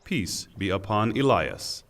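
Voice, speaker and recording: A man reading a scripture translation in a solemn, formal, deliberate way, with pauses. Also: lowish voice, very animated, fairly bright tone. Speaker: translation reader. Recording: long hall reverb.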